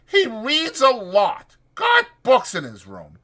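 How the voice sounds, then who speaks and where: A man's voice: extremely high pitch, huge pitch swings, slow pace, sharp tone, pitched above the performer's own voice, full character voice. A man voicing a chatty old ranch swamper with rambling, folksy speech for a dramatized audiobook. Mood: excited, gossipy.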